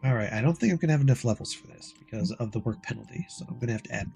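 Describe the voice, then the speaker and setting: Guy streaming a game, dry hoarse voice kinda going out, rambly casual talk, music playing behind him. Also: medium pitch, expressive, rapid-fire, dark tone. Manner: rambling, explains game steps as he plays. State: hoarse, dry, losing his voice. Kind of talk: casual livestream gameplay chat.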